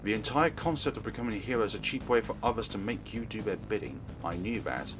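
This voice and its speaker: Man reading as a grave, low-voiced young killer. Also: lively intonation, fast, natural voice. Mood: bitter, resigned. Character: cold, grieving boy killer, fatalistic former terrorist. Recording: music playing behind.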